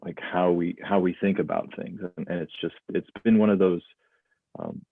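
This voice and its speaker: A young man in his late twenties muses aloud as a guest, loose and reflective, circling back on his words. His voice sits very low. The line is thin and phone-like, with a dry, close sound. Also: steady tone, normal speed, creaky, husky, no room sound. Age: 20s. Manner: thoughtful, loose, many restarts and fillers. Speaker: guest, mindfulness instructor. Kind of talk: reflective conversational talk.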